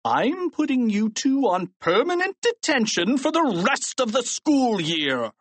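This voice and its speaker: A narrator voicing a blustering, indignant male school principal in a children's story, loud and drawn-out. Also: mid-range voice, wildly swooping pitch, long reverb tail, voice pushed up. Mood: furious.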